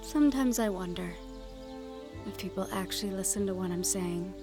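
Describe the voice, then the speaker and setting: A woman playing a sheltered young noblewoman in a fantasy audio roleplay, gentle, wistful and quietly willful, with formal phrasing. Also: medium pitch, moderate pace, over music, close to an everyday voice. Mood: earnest, teasing. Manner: formal speech with few contractions. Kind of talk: fantasy audio roleplay acting, addressed to the listener.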